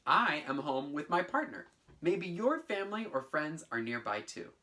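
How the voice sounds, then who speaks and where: A man in his thirties teaching children and telling stories in a theatrical, clearly enunciated way. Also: fairly high pitch, moderate pace. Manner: animated, theatrical, clearly enunciated, sing-song chants. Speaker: teaching artist and presenter. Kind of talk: children's lesson, storytelling and instruction. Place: bedroom at home, indoors.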